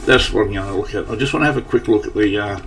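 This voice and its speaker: A man's voice: lowish voice, very fast, fairly bright tone. A man giving casual gameplay commentary, thinking aloud in a rambling way with a slightly scratchy early-morning voice. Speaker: gaming channel host. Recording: distant mic, faint background noise.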